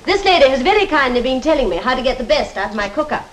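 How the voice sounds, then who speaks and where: A woman's voice: high voice, big pitch swings, racing. A woman playing an eager, agreeable housewife in a 1930s British instructional film, with genteel Received Pronunciation and a polite, chatty manner. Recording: noisy, worn 1930s film soundtrack.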